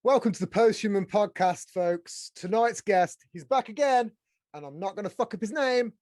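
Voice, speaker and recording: A man hosting a podcast as a casual, blokey, excitable chat with plenty of swearing. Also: sits high, moderate pace, no room sound, studio quality. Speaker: podcast host. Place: small studio room.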